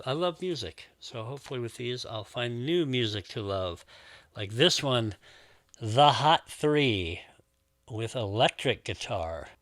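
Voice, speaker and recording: A man's voice: on the low side, no echo. A man chatting casually and enthusiastically as he unboxes old records and muses on each one. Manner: warm, musing, enthusiastic about records. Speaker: record collector and show host.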